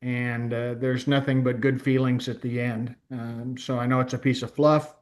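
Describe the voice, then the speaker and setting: A man in a film club giving his thoughts casually, in a halting, reflective way. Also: mid-range voice, little pitch movement. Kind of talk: casual group discussion.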